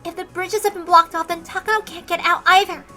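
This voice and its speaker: Small determined girl, played by a woman, extremely high bright voice, earnest, music behind. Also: fairly even intonation, fairly quick. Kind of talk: dramatic visual novel read-aloud.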